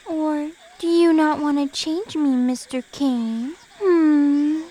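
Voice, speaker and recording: Audio drama goddess: very high girlish voice, tipsy, playful, drawn-out slow delivery. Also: steady tone, mellow. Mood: playful, drunk. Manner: drunken drawl with giggles. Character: tipsy, giggly, childishly playful goddess in disguise.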